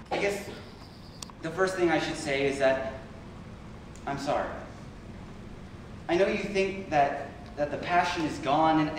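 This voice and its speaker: A man's voice: on the high side, lightly acted. A man playing an anxious, pleading lover in a contemporary dramatic stage monologue, stammering and repeating his words. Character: anxious, pleading, passionate man in a troubled relationship. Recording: very echoey room, far from mic, faint noise.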